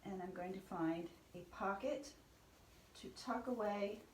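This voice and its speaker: Slow, soft-spoken woman with a stuffy nose. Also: medium pitch, slight background noise, poor audio. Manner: calm, unhurried, often drops to a soft whisper. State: stuffy from a cold. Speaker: head wrap tutorial host. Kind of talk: step-by-step tutorial.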